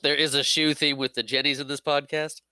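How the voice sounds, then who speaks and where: A man's voice: a little high, flat delivery, fairly quick, sharp tone, clear, resonant tone, dry recording, studio quality. A man co-hosting a podcast in casual chat about a film, often laughing and chiming in with short agreements. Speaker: podcast co-host.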